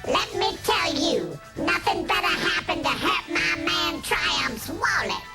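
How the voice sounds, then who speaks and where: A man's voice: very high voice, expressive, slow pace, loud music behind the voice, heavily acted. A man playing a swaggering, boastful robot pimp who talks in slangy rhyming jive for a comedy sketch.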